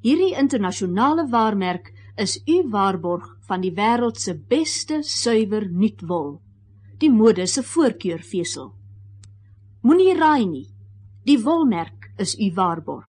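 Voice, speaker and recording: A woman with a husky voice reading a scripted 1960s radio commercial. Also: mid-range voice, very animated, a little slow, a little mellow. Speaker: advert announcer.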